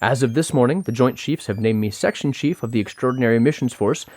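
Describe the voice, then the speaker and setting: A woman's voice: very deep voice, quick pace, a little mellow. A woman playing a cool, condescending government agency chief in an audio comedy drama, formal and smugly authoritative.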